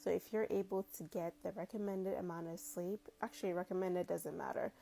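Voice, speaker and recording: A woman in her forties from Cameroon talks casually into a wired earphone mic in a small room. Her voice sits a little low with a gravelly edge, and she speaks in a loose, conversational way, full of likes and side comments. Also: fairly quick. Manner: relaxed and conversational, with frequent likes and asides. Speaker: physician. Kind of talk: casual advice video answering a viewer question.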